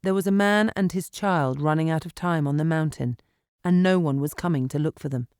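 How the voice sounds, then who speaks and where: A woman audiobook narrator reading dramatic fiction in an expressive, measured storytelling manner in a studio. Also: sits low, clean tone, no echo. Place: dry recording studio.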